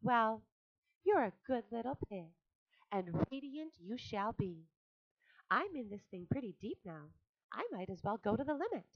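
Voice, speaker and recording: Gentle wise spider lady, woman doing the voice, high and animated, polite, muffled lo-fi sound. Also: measured pace, no room sound. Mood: urging, warm. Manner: bright, lively, coaxing and polite. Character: kind wise female barn spider, gently commanding. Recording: dull lo-fi audiobook recording.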